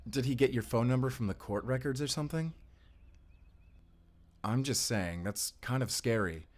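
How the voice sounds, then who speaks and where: A man playing a caring, playful but protective boyfriend in an audio roleplay, speaking in a soft, casual, hesitant way. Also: lowish voice. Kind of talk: audio roleplay acting addressed to the listener.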